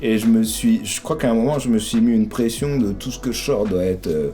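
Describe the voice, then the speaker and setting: A man from Normandy in casual chat, relaxed and hesitant with many fillers, laughing often. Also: fairly low pitch, brisk, faint music behind. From Normandy, France. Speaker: guest rapper.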